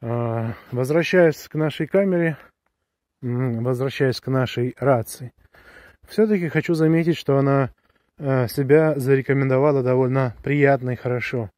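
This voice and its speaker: A man talking casually to viewers while walking outdoors, explaining things with drawn-out hesitations. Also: mid-range voice, darkish tone, no echo, studio quality. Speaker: vlogger reviewing a gadget. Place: outdoors on a woodland path, walking. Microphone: handheld camera or phone held close while walking.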